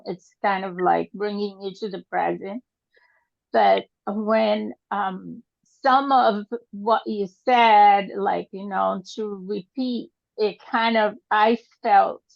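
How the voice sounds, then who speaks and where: Brazilian-born older woman on a video call, slow and halting, gravelly, dull lo-fi sound. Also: medium pitch, no echo. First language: Portuguese. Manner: halting, hesitant, many fillers. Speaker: group participant. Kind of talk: casual sharing in an online group.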